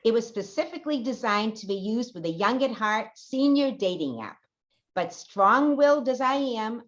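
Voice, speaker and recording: A woman performs an enthusiastic older customer selling a product in a spoof radio commercial. Her pitch is middling and the delivery is scripted testimonial, on slightly dull audio. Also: fairly bright tone.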